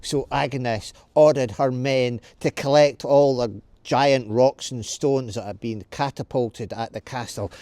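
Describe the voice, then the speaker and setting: A man's voice: mid-range voice, darkish tone, no room sound. A man telling a story for children, stopping to ask and answer questions. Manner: explains as he tells, asks and answers questions.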